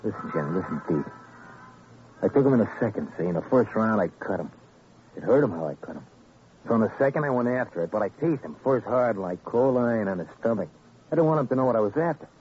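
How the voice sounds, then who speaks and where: An actor playing a cocky, menacing prizefighter in a radio crime drama, talking in terse, taunting boasts. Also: male voice, fairly low pitch, animated, quick pace, a little mellow, natural voice. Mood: boastful, savoring. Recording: muffled old radio recording, faint noise.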